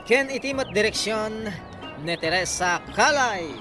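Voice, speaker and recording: A radio drama narrator reading a scripted closing and credits in a measured way. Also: mid-range voice, lively intonation. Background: music.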